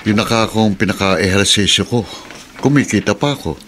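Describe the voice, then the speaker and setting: Casting: man playing middle-aged dad, pedicab driver, proud and earnest, fairly deep voice, natural radio drama dialogue. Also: brisk, a bit bright, a little hiss or hum, natural voice. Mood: proud, reflective. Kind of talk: Filipino radio drama acting.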